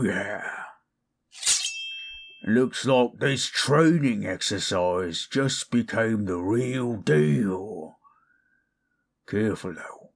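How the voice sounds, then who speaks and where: A man playing a gruff, weary but good-hearted orc adventurer in a fan audio drama, blunt and grumbling. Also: on the low side, heavily acted. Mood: resolute, wary.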